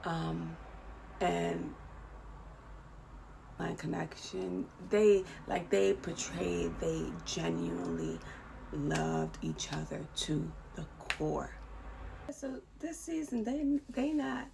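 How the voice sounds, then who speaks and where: A woman's voice: fairly low pitch, slow, gravelly, noisy background. A woman vlogger talking casually about a series, with many fillers and restarts, and asking viewers to correct her. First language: English. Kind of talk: casual talk to camera.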